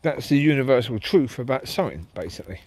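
A man's voice: mid-range voice, sing-song, fast, a little mellow, slightly rough, no room sound. A man talking casually to camera as he walks his dogs through a park at night, reflective and rambling. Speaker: vlogger walking his dogs. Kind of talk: casual walk-and-talk monologue. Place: park path at night, outdoors. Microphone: handheld camera while walking.

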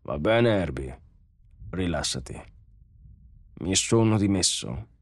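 Man playing a low, brusque elderly director. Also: animated, a little fast, no room sound. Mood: controlled, anxious. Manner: brusque, stern. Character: elderly male research director, stern, impatient, gruff.

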